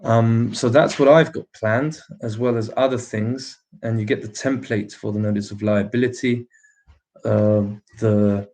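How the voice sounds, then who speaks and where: A man's voice: lowish voice, steady tone, unhurried, darkish tone. A man with a slightly British accent hosting a casual livestream, putting emphatic rhetorical questions to his viewers and laughing often between remarks. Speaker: livestream host.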